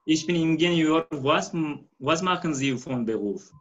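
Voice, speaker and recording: Slow Turkish-accented man, muffled. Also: on the high side, lively intonation, a bit bright. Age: 30s. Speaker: language student. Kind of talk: careful dialogue practice. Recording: dull online call.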